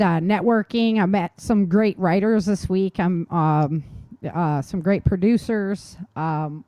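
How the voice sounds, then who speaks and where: A woman's voice: medium pitch, warm tone, dry recording. A woman co-hosting a show in a casual, chatty way, with an informal and enthusiastic manner. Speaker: podcast co-host and novelist. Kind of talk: casual chat.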